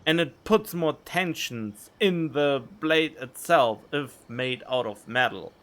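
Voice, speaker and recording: A man's voice: on the high side, expressive, takes it slowly, fairly bright tone. A man giving casual commentary while playing a game, musing and chatty as he thinks aloud into a desktop mic. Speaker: game streamer. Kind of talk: casual let's-play commentary. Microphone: desktop USB mic. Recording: game music in background.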